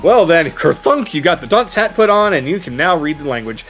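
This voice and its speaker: A man with a fairly high voice narrates a tabletop roleplaying game as game master. He feels out his descriptions as he goes, pausing to think aloud. Soft music plays behind him. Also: big pitch swings. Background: background music.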